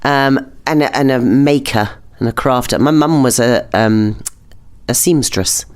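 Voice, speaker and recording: A woman's voice: very deep voice, unhurried, darkish tone. A middle-aged British woman chatting casually as a podcast guest, talkative and self-deprecating. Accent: British English. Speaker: podcast guest, amateur theatre crafter. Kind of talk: casual interview chat.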